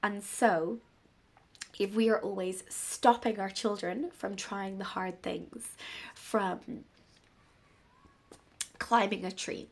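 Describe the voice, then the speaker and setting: A woman in her thirties, a bit bunged up with a cold, chatting casually and rambling gently about parenting in an unplanned vlog. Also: mid-range voice, fairly even intonation, takes it slowly, long pauses. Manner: rambling, gentle, warm-hearted musing. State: congested from a cold. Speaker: parenting vlogger. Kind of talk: casual unscripted talk to camera.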